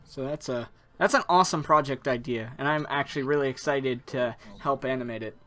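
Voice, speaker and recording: A young man co-hosting a podcast, excitable and stumbling over his words. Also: medium pitch, brisk. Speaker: podcast co-host. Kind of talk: casual chat.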